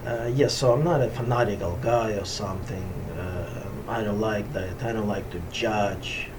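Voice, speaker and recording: A middle-aged man with a Russian accent talks casually to camera as a yoga teacher, meditative and halting as he searches for words. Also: lowish voice. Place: small indoor room at home ashram. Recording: distant mic, clearly noisy room sound.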